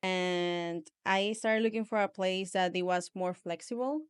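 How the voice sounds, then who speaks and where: A woman from Venezuela with a Venezuelan Spanish accent, a studio founder speaking as a guest in a casual interview, relaxed and loose, with lots of "like" fillers. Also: fairly low pitch, a little slow, clean tone. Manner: relaxed, many "like" fillers, plain non-native phrasing. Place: small podcast studio.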